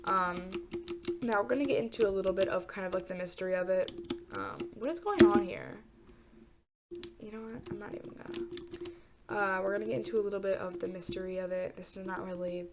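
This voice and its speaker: A woman's voice: mid-range voice, a little fast, heavy background noise. A congested young woman casually telling a true crime story while gaming, hesitant and rambling with many fillers and restarts. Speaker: gamer talking true crime. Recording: loud keyboard typing behind.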